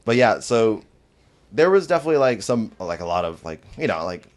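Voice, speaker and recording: A man's voice: lowish voice, sing-song, a little fast. A man in his twenties, raised in the American South, telling his life story as a podcast guest with lots of "like" fillers. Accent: light Southern American. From Iraq, raised in Georgia, USA. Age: 20s. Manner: rambling, many "like" fillers, laughs often.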